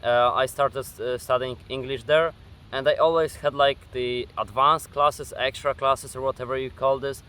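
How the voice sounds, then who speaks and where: A young man of eighteen with a Polish accent answers viewer questions outdoors, speaking into a camera facing him. His voice is bright and clear, and he talks hesitantly, filling gaps with uh and um and restarting his sentences. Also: medium pitch, fairly even intonation, moderate pace. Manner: hesitant, many fillers and restarts. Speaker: gaming YouTuber. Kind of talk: casual Q&A vlog. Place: outdoors at dusk.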